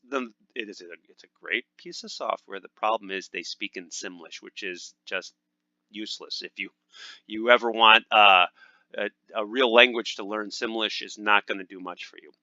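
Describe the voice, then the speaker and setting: A man hosts a language lesson with a bright, sharp edge to his lower voice. His upbeat talk is full of fillers and restarts. The sound is dry and a little dull, like a modest home mic. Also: moderate pace, no room sound. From United States. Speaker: language teacher and channel host. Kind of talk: casual instructional talk over gameplay.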